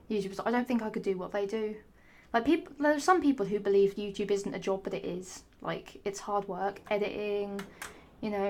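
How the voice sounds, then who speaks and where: A young woman, her voice hoarse, rambling sassily to camera with frequent swearing and restarts. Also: mid-range voice. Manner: rambling, sassy, swears often, many restarts. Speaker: vlogger. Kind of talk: rambling casual monologue.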